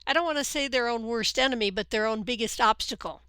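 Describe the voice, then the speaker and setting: A woman's voice: fairly high pitch, quick pace, pushed and bright, clean tone, dry recording, clean studio sound. A woman hosting a podcast interview, talking with enthusiasm and often repeating words as she thinks. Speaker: podcast host. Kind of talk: conversational interview.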